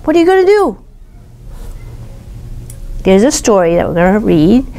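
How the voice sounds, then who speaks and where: A woman reading a picture book aloud to young children, pausing to ask questions and explain words simply. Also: medium pitch, very animated, dark tone, a little hiss or hum. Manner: explains simply, pointing things out. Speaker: storytime reader teaching young children.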